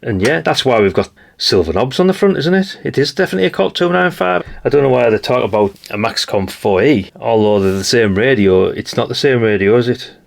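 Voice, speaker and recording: A British man with a gravelly voice giving a casual, rambling hands-on commentary as he thinks aloud. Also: medium pitch, lively intonation, a little fast. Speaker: hobbyist radio channel host.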